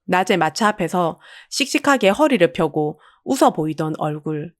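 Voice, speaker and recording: A woman narrates a dramatic audio story from a script. Her pitch is mid-range but moves a great deal, and the recording is close and dry with hardly any room sound. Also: no room sound.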